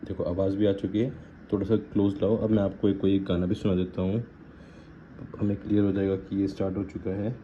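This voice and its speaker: Male unboxing vlogger, Indian, low and mellow, casual code-switching delivery, slightly noisy audio. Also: little pitch movement, fairly quick. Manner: informal, switches between Hindi and English phrases. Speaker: vlogger reviewing gear. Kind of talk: casual unboxing and installation walkthrough. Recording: muffled lo-fi audio with faint background noise.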